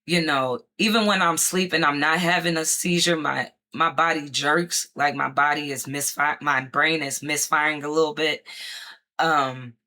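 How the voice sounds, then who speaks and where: A woman talking casually about her life, rambling with many fillers and restarts, her voice going hoarse at times. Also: deep voice, bright, forceful tone. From Chicago area, United States. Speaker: vlogger sharing her experience. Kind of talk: casual personal talk to camera.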